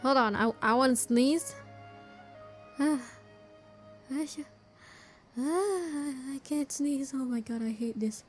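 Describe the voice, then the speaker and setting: A woman gives casual reaction commentary as she plays a game. Her voice is on the high side, and she talks informally and spontaneously. Music runs behind her. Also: slow pace, pauses often. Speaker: game streamer.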